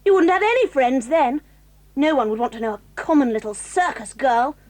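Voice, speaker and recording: A woman's voice: high-pitched, lively intonation, moderate pace, darkish tone. A woman playing a snobbish, sly schoolgirl with a prim, haughty manner in a children's audio drama. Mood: spiteful accusing.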